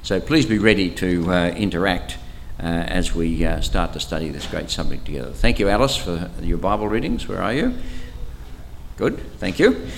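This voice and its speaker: Older Australian clergyman with a low, powerful voice and a relaxed, teacherly delivery full of small hesitations, with a faint hum behind him. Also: normal speed, gravelly. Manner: chatty, teacherly, frequent hesitations. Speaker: archbishop leading a Bible study. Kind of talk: interactive Bible study talk. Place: church camp meeting room. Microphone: microphone, sometimes moves away from it.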